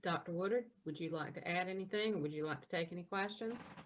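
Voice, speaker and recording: A woman's voice: on the low side, fairly quick. Woman hosting a reading event, polite, sounds far off and dull, bit of noise. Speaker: host of a library reading event.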